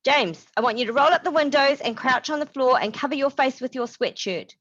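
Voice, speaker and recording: A woman's voice: high voice, a little fast, fairly bright tone, lo-fi sound, pitched above the performer's own voice. A woman voicing a tough, decisive big sister urgently giving orders in a zombie story. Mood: firm. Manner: urgent, commanding.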